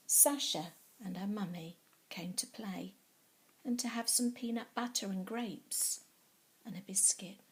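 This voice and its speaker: A woman reading a children's story expressively and theatrically, sometimes dropping to hushed tones. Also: on the high side. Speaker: storybook reader.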